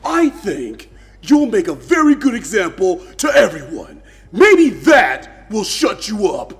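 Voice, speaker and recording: A man's voice: high voice, wildly swooping pitch, takes it slowly, faint music behind, full character voice. A man playing an irritable, menacing gang boss in an audio drama, bossy and theatrical. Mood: exasperated, menacing.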